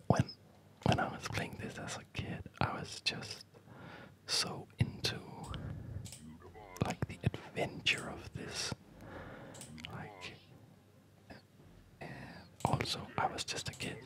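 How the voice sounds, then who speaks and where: Someone whispering breathy ASMR commentary while playing a video game, musing aloud in short, halting phrases. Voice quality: breathy, mostly unvoiced whisper. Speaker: gamer narrating own gameplay.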